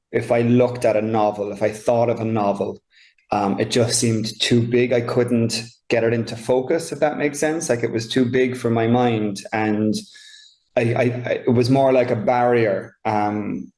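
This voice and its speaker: A man's voice: medium pitch, steady tone, normal speed, darkish tone, echoey room. A man with an Irish accent, a novelist speaking reflectively in an interview, often searching for his words. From Ireland. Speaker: novelist guest. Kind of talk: conversational author interview.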